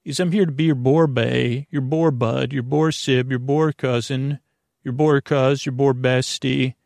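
A man with heavy vocal fry hosts a sleep podcast in a dry, close sound. He rambles to listeners at bedtime, hesitating and doubling back on himself. His sentences wander off into digressions and restart in a gravelly murmur. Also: medium pitch, steady tone, a little slow, a little mellow, dry recording. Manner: meandering, hesitant, self-interrupting, digressive.

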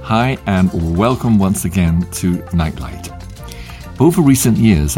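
Slow, deep-voiced male devotional reader. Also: warm tone. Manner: measured, emphatic reading with long pauses. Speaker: podcast host and devotional reader. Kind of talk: devotional reading of short quotes.

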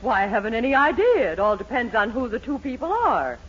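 An actress playing a stern, sharp-tongued middle-aged mother in a 1940s radio comedy, with an emphatic, scolding delivery. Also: on the high side, big pitch swings, fairly quick. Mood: dry, skeptical. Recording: dull old radio recording, audience, hiss.

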